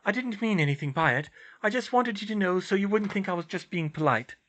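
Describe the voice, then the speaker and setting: A man voicing an earnest, high-pitched teenage girl. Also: lively intonation, fast, fairly bright tone. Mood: flustered, babbling. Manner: stammering, babbling, indignant bursts.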